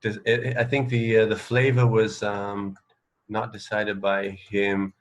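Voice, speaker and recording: A man co-hosting a radio show, casually reading out trivia and thinking aloud in a halting way. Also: lowish voice, level tone. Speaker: radio co-host.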